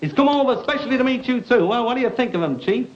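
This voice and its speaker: A British man playing a bossy, breezy schemer in a sitcom, cajoling everyone along with brisk patter. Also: extremely high pitch, lively intonation. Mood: showy. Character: bossy, breezy, scheming man herding children and reluctant accomplices. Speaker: sitcom actor. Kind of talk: 1950s British TV sitcom acting. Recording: muffled, noisy old TV soundtrack.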